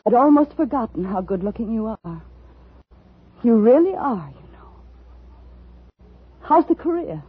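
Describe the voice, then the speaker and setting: An actress playing an unstable, wronged woman with brittle sweetness and theatrical, drawn-out intensity in 1950s radio style. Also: fairly high pitch, very animated, slightly rough, exaggerated voice. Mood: hysterical, unhinged. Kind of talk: 1950s radio drama acting. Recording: tinny old radio broadcast with music.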